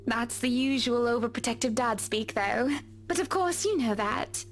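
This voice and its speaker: Woman to play a confident, refined society lady; high-pitched, prim diction. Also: expressive, normal speed, slight background noise, close to an everyday voice. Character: poised, genteel wealthy woman, confident and fond. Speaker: game character. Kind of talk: voiced visual novel dialogue.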